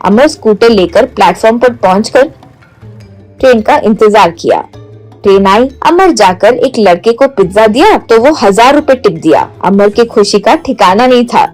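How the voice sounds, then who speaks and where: A woman's voice: fairly high pitch, expressive, moderate pace, a little mellow, poor audio. A woman reading scripted story narration. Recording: music bed under the voice.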